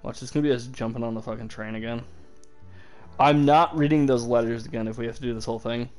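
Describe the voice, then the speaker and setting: A man's voice: mid-range voice, steady tone, quick pace, a little mellow, faint music behind. Sarcastic young man streaming a game. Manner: self-deprecating, sarcastic, swears casually. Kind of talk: casual gameplay commentary.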